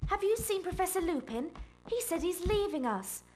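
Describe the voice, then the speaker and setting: A woman's voice: very high-pitched, very animated, a little mellow. An eager schoolgirl. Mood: chatty. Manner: quick, chatty questions. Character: clever schoolgirl, eager and bossy. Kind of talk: video game voice acting. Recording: music playing underneath.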